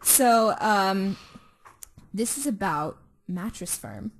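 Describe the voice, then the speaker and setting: A woman's voice: mid-range voice, animated, takes it slowly, background noise. A woman chatting casually as a podcast co-host, in an informal, slangy way with some swearing. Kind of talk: casual chat.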